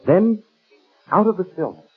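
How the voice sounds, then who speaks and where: Old-time radio narrator, man, theatrical, fairly high voice, vintage narrow-band sound. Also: animated, moderate pace, very noisy. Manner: theatrical, suspenseful. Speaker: announcer. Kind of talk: dramatic 1930s radio scripted narration. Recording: muffled vintage 1930s radio recording.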